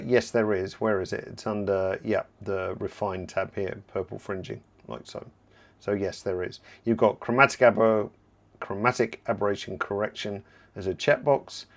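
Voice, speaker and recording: A man hosting a live photo-editing software tutorial, explaining steps as he works and answering viewer questions, slightly hoarse and clearing his throat now and then. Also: lowish voice, unhurried. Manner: relaxed, explanatory, thinking aloud while working. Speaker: software trainer hosting a livestream.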